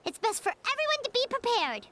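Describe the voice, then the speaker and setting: A woman voicing a tiny, excitable childlike fairy companion in a video game, chirpy and earnest in manner. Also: very high voice, fast, background noise. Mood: eager. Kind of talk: video game character acting. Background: game music.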